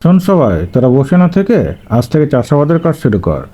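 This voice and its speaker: A man's voice: on the high side, very animated, fast talker, mellow. A man voicing a bossy yet courteous village headman in a cartoon story, instructing in a folksy way. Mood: commanding.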